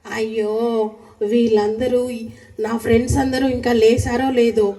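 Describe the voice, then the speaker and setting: A woman plays a small boy puppet in a children's show, pitched lower than the big sister. He is whiny and stubborn, a timid little brother who only wants to play. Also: flat delivery, normal speed, dark tone, far from the mic, lightly acted. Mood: sulky, complaining.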